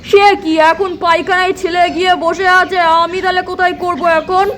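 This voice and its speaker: A woman's voice: very high-pitched, level tone, a little fast, a bit bright, a little hiss or hum. A woman voicing a hapless, complaining young husband in a doll cartoon, plaintive and full of exclamations. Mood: desperate, embarrassed. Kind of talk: doll-play cartoon story acting.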